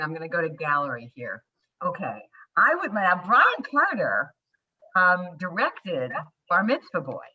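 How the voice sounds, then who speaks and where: Woman hosting a zoom talkback, husky lowish voice, swoops around a lot, kinda dull lo-fi sound. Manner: chatty, quick affirmations. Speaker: moderator. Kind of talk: casual panel talk on a video call. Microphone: video call.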